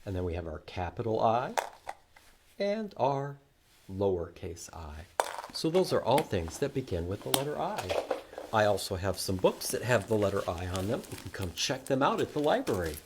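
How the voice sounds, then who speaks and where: A man presenting a children's library storytime, reading aloud in an animated, sing-song way with questions and repeated words for young listeners. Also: fairly low pitch, normal speed, very noisy.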